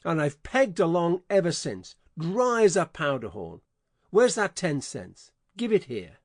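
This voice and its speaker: A man playing a scheming adult con man, speaking in a gruff, folksy, bullying way in an audiobook dramatization. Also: on the high side, sing-song, measured pace, dry recording, clean studio sound. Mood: grumbling.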